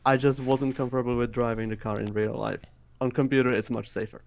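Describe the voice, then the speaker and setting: A man's voice: medium pitch, level tone, fast talker, gravelly, no room sound. Man, Czech accent, mid-range voice, casual interviewee. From Czech Republic. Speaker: race winner being interviewed.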